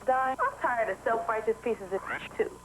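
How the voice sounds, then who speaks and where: A woman's voice: on the high side, moderate pace, background noise. A woman speaking as a spokesperson in a campaign ad, addressing the public. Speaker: anti-tobacco campaign spokesperson. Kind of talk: ad spot address.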